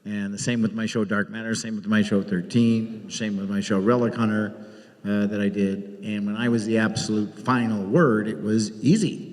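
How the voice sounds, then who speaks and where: A man's voice: on the low side, steady tone, moderate pace, darkish tone. Man telling stories in an echoey hall. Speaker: TV producer and showrunner, panelist. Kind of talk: live panel anecdote telling. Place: large hall at a live convention panel. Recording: echoey hall with long reverb.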